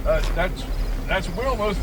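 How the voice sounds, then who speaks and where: A man's voice: sits high, expressive, unhurried, heavy background noise. A man playing a folksy, eager farmer in a radio drama, rambling and hesitant in a rural American drawl.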